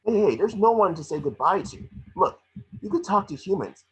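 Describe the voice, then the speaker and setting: A man playing a small, cocky, wisecracking mouse detective in a table read, with a rough-edged husky voice. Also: sits high, lively intonation, fairly bright tone, muffled recording, exaggerated voice. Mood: pleading. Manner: fast-talking wisecracking patter. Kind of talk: animated script table read.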